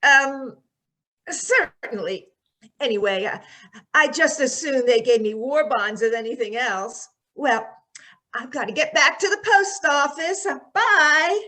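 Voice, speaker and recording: Actress as boy-crazy, scatterbrained girl for vintage radio comedy; high pitch, gushing run-on delivery. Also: slow, pushed and bright, full character voice. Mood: earnest, chirpy. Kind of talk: 1940s radio comedy acting.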